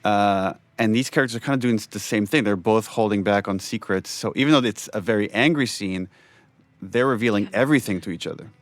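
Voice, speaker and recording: A man's voice: on the low side, fairly quick, dry recording. A man giving casual, reflective commentary as a game director, thinking aloud as he talks.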